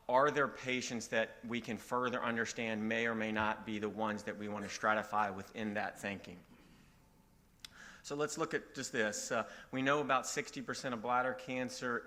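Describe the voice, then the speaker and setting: A man from Virginia giving a medical conference talk in a relaxed, folksy way with frequent fillers. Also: mid-range voice. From Virginia, southeastern United States. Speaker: conference speaker.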